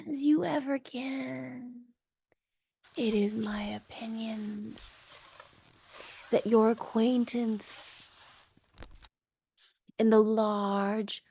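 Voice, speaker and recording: A wordy, old-fashioned python snake speaks in a slow, hushed whisper, put on by a woman reading aloud. Each word is drawn out and quiet. The sound is dry and close to the mic. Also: on the high side, creaky, husky, dry recording, pitched above the performer's own voice, exaggerated voice.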